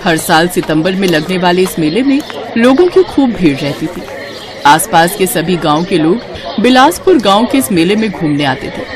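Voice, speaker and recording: Animated woman storyteller. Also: on the low side, moderate pace, music in the background, a crowd in the background. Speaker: narrator. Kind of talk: scripted children's story narration.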